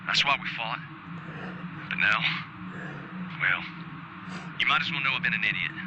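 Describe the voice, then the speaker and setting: A man's voice: medium pitch, normal speed, highs cut off. An actor playing a bitter, sardonic doomed male astronaut in a radio drama, through an intercom filter. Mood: bitter, confessing. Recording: very noisy spaceship radio link.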